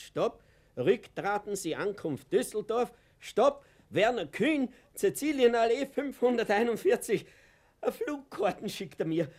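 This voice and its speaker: An eccentric old father in a radio drama, with a Viennese accent and a rather high voice for a man. He is folksy and excitable, quick to indignation. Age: older. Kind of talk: German-language radio drama acting.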